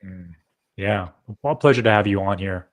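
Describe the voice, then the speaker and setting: A man's voice: sits low, normal speed. A man co-hosting a casual live-streamed talk, loose and rambling, with many fillers as he introduces and checks in. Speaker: co-host.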